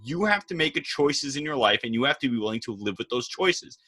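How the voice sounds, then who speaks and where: A man's voice: mid-range voice, expressive, a little fast, bright, forceful tone, slightly rough. A man co-hosting a political podcast, talking bluntly and sarcastically in a lecturing way. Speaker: podcast co-host. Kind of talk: casual political chat.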